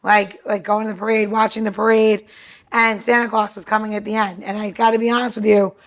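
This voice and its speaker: Gravelly New woman co-host. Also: medium pitch, level tone, a little fast. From New York. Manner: blunt, swears, laughs while talking. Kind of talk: casual chat.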